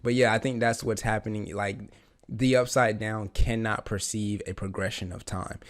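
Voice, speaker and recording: A man with a raspy voice, just getting over the flu, talking through a TV episode in a rambling, eager way with lots of fillers. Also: on the low side, flat delivery, normal speed. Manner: rambling, many fillers and restarts, eager fan. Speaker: reaction channel host. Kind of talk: casual reaction commentary.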